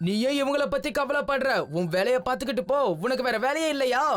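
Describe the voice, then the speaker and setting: A woman's voice: sits high, brisk, lightly acted. A woman voicing a grumpy, bossy boy bully in a children's cartoon dub. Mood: irritated. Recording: music under the dialogue.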